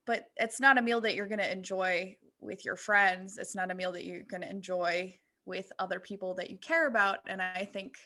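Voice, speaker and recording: Bright-voiced woman in casual podcast chat. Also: medium pitch. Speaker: podcast co-host.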